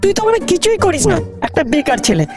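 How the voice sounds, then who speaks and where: A woman's voice: very high-pitched, sing-song, fast. A blunt, gossipy young cartoon guy played by a woman. He rattles off emphatic slang, with music underneath. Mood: warning. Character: blunt, gossipy young male village friend, warning and scornful. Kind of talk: comic cartoon dialogue.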